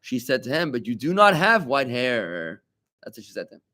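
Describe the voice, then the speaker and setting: A man teaching a Talmud class to students in the room. He is a rabbi, and his delivery is animated and conversational. He often stops to ask rhetorical questions and make quick asides to his listeners. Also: mid-range voice.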